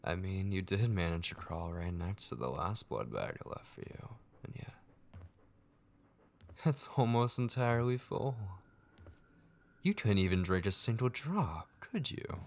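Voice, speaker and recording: A sinister, possessive male tormentor acted by a man speaks in a very deep voice full of gravel. He goes very slowly through an intimate horror ASMR monologue, coaxing and mocking with false tenderness, often sinking to a whisper. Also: very animated, a little hiss or hum, heavily acted. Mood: mocking, watchful. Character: sinister, possessive, taunting male voice haunting a captive vampire.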